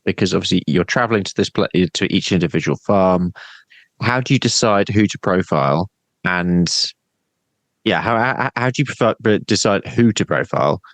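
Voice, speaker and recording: A man's voice: low voice, animated, normal speed, no echo. A man hosting a podcast interview, asking questions in a hesitant way and repeating words as he goes. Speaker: podcast host. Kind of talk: casual interview.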